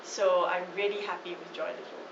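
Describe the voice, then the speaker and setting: A woman speaking warmly and enthusiastically as a parent giving a testimonial. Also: fairly low pitch, level tone, brisk. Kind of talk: casual testimonial. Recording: distant echoey dull recording, ambient noise.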